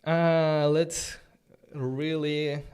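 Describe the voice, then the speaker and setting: A man's voice: fairly high pitch, level tone, drawn-out words, a little mellow, clean tone. A man whose first language is Romanian teaches a coding tutorial on a live stream, thinking aloud in a casual way with many fillers and restarts, and stops to answer viewers' chat questions. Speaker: coding instructor.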